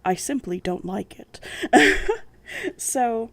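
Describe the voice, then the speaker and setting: Woman, gravelly croaky voice, sarcastic book reader, coughs and sniffles. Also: a little high, animated, slow, a bit bright, slight background noise. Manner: sassy and sarcastic. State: croaky from a sinus infection. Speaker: book channel host. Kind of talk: reading a novel aloud with commentary.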